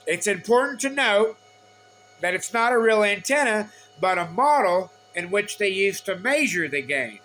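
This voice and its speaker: Male explainer, high and bright voice, informal, choppy note-reading delivery, slightly noisy recording. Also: expressive. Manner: halting, repetitive, reads in short phrases. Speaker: explainer of radio antenna measurements.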